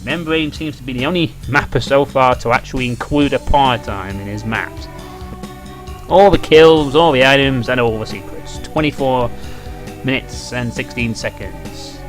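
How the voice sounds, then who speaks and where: A man hosts a video game playthrough in a middle-pitched voice, talking casually as he thinks aloud. His manner is musing and dryly critical, and music plays behind him. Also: moderate pace. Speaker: let's play host.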